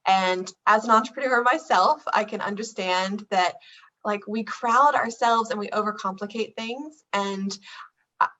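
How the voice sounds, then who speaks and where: A woman's voice: mid-range voice, unhurried, bright, forceful tone, muffled recording, slight background noise. A woman hosting an interview, enthusiastic and gushing, often using "like" and "um". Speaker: host, photographer. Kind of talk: interview host.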